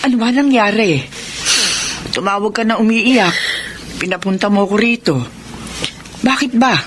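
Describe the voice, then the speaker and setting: A woman's voice: mid-range voice, moderate pace, a little hiss or hum, natural voice. An actress playing a worried middle-aged mother in a Filipino radio drama, chiding her grown child with concern.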